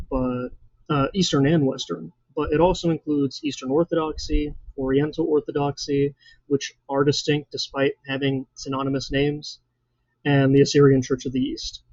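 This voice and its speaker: A young man in his twenties, hosting his own channel, introducing himself in an earnest, measured way with frequent fillers. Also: mid-range voice, warm tone, dry recording, highs cut off. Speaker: channel host. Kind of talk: scripted personal introduction.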